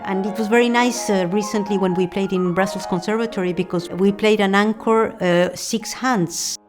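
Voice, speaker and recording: A woman's voice: medium pitch, fairly even intonation, normal speed. A mature woman from Argentina with an Argentine accent, a native Spanish speaker talking in an interview as a pianist. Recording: music and crowd behind speech.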